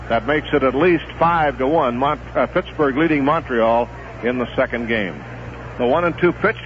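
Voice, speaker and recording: A middle-aged man giving live play-by-play commentary on a baseball game for radio, steady and relaxed, rising in excitement on big plays. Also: medium pitch. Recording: muffled vintage 1970s radio broadcast with hiss.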